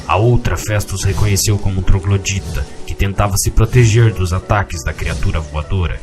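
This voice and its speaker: A man with a Brazilian accent narrating a scripted storybook tale. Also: deep voice. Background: music with guitar.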